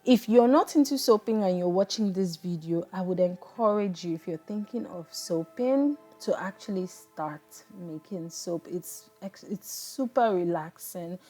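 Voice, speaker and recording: A woman's voice: fairly low pitch, measured pace, few pauses, warm tone, soft background music. A woman in her thirties with a Nigerian accent, chatting to viewers in a reflective, casual voice-over while she makes soap. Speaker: soap maker and channel host.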